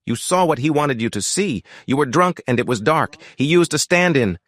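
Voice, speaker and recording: A stoic veteran medic father in an audiobook drama, read by a male narrator. He is protective and speaks with firm control, his pitch lifting noticeably as he gives instructions. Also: animated, normal speed, fairly bright tone, dry recording, natural voice. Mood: firm, insistent.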